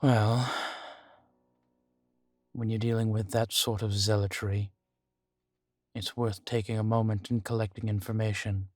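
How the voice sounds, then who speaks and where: A man playing an ancient vampire in an audio roleplay, guarded, weary and grave, speaking in a measured, deliberate way with dramatic pauses. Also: lowish voice, flat delivery, a little mellow, no room sound, faint music behind, lightly acted. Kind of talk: scripted audio roleplay addressed to the listener.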